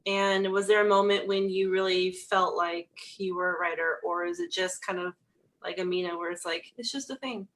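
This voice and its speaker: A woman's voice: fairly low pitch, fairly even intonation, normal speed. A woman librarian hosting a video chat, asking questions in an encouraging way. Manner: warm, encouraging interviewer. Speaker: librarian host. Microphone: video call.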